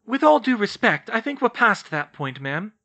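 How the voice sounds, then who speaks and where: Man playing an insistent young witch, earnest and quick, high bright tone. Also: expressive. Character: earnest determined young woman student.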